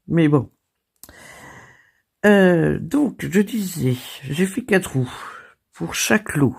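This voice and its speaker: A French woman hosting her crafting channel, hoarse from a cold, chatting casually and laughing at herself. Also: on the low side, animated, slow pace. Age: older. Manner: chatty and self-deprecating, laughing and coughing. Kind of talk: casual chat.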